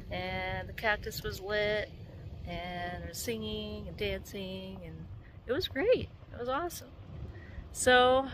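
A middle-aged woman chatting casually and cheerily to a phone held at arm's length, in an informal vlog style. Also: mid-range voice. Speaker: vlogger. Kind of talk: casual vlog chat to camera. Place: parked car and open desert outdoors. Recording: noisy outdoor phone video, ambient vehicle noise.

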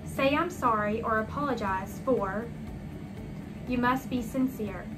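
A woman's voice: a little high, unhurried, noisy background, close to an everyday voice. A woman voicing a stern male school principal who admonishes and instructs in a children's storybook. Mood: instructive.

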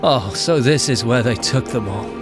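An actor playing a solemn, grieving Templar knight in a video game, speaking in a grave, halting way. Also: male voice, medium pitch, close to an everyday voice. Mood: shocked, sorrowful. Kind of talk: dramatic video game voice acting. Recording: loud music behind the voice.

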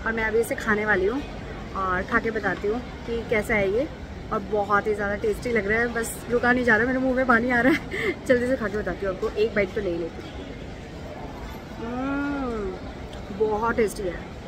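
A woman's voice: fairly high pitch, moderate pace. Chatty woman filming in a noisy cabin. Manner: chatty, describing food and seats as she films. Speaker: travel vlogger. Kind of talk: casual vlog chat to camera. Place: airport lounge and airliner cabin. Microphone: phone camera at arm's length. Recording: loud aircraft cabin noise. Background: airplane engine and cabin hum.

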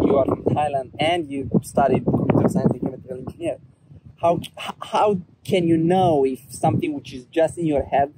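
A young man hosting a casual outdoor conversation, reflective and questioning, with frequent ums and likes. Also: on the high side. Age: young adult. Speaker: host. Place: outdoors under trees. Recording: loud outdoor ambient noise.

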